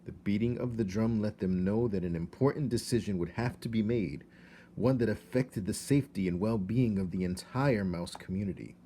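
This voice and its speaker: A man narrating a children's storybook aloud in an expressive, dramatic read-aloud style. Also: fairly low pitch, normal speed, husky.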